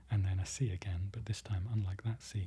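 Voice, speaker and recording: A presenter whispering an ASMR-style lesson, slowly repeating foreign words and correcting themselves. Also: low-pitched, fairly even intonation, breathy edge. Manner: whispering throughout, soft and close. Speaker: presenter learning Polish from a book.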